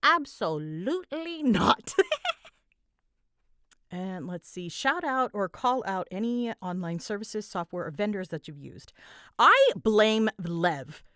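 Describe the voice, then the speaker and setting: A woman's voice: mid-range voice, sing-song, slow pace, a bit bright, no echo, clean studio sound. A woman chatting casually and informally as a content creator answering listener questions, rambling in a self-deprecating way.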